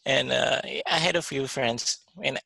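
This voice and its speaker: A man's voice: medium pitch, measured pace, vocal fry, bright, forceful tone, no room sound. A man co-hosting a casual podcast, talking hesitantly with trailing fillers. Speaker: podcast co-host.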